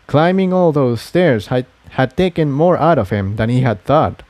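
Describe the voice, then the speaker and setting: A man's voice: mid-range voice, expressive, normal speed, a little mellow, no room sound. A man narrating an adventure story from a script, as a fan fiction reader.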